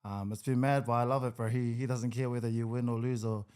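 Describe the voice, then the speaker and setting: Guest: kiwi guy in his 20s, mid-pitch, relaxed and hesitant, lots of ums. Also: fast talker, smooth. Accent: New Zealand. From New Zealand. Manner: easygoing, hesitant with many ums, laughs a lot. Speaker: guest, rugby league player. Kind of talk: casual podcast interview.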